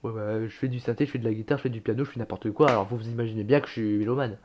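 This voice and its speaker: A man's voice: fairly low pitch, fairly even intonation, rapid-fire, muffled recording. A young man casually reacting to his own old videos, chatty and self-mocking, swearing and laughing at himself.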